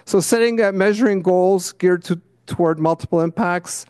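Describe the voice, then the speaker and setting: A man giving a conference talk over slides, losing his voice, clearing his throat often and tagging points with "right?". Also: high-pitched, normal speed, darkish tone, no echo, studio quality. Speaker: conference presenter. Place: conference meeting room.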